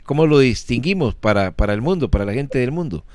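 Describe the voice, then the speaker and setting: A man hosting a radio interview, asking formal, measured questions with frequent hesitant fillers. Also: mid-range voice, expressive, darkish tone, dry recording.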